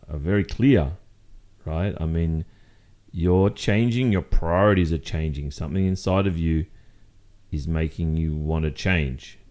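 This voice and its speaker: Deep-voiced jealous Australian boyfriend played by a man. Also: dark tone. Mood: restrained, exasperated. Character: jealous, exasperated Australian boyfriend confronting his partner. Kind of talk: boyfriend roleplay audio monologue, conversational.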